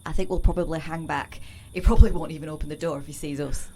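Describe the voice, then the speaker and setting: A woman's voice: low voice, fairly even intonation, fast, a little mellow, slight background noise. A woman playing a dry, disdainful local townswoman in an audio drama, with a sardonic way of talking. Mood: guarded.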